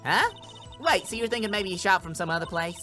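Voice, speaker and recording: A man's voice: high-pitched, lively intonation, a little fast, bright, forceful tone, faint music behind, voice pushed up. A man voicing a brash, flustered Southern woman witness in a courtroom game, with a folksy, indignant, stammering manner and a Southern US accent.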